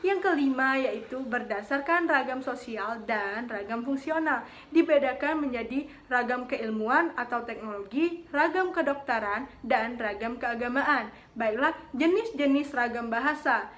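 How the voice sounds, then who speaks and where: A young woman student reading a class presentation, steady but with frequent hesitant fillers. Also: a little high, measured pace. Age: young adult.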